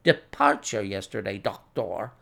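A man voicing a courteous, apologetic old Russian gentleman in a storybook reading, speaking slowly and formally. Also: lowish voice, lively intonation, creaky, voice pushed down, heavily acted.

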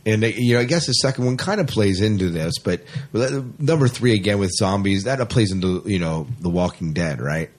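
Low husky man, chatty podcast host. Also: expressive, fairly quick, hardly any pauses. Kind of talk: casual sci-fi podcast chat.

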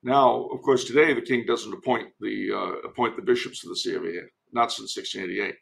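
A man's voice: lowish voice, steady tone, fairly quick, creaky. A man giving casual historical commentary as a podcast guest, digressive and given to wry asides.

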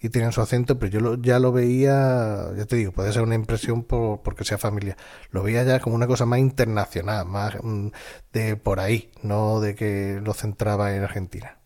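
A man from chatting casually, telling animated anecdotes with hesitant fillers. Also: fairly low pitch, moderate pace. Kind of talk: casual chat.

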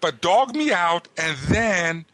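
A man with a Southern US accent delivers an indignant podcast rant, repeating himself for emphasis. His voice sits a little high and sounds bright. The recording is close and dry. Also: expressive, slow pace, no room sound, rough recording. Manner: indignant, repetitive emphatic phrasing. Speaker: podcast co-host.

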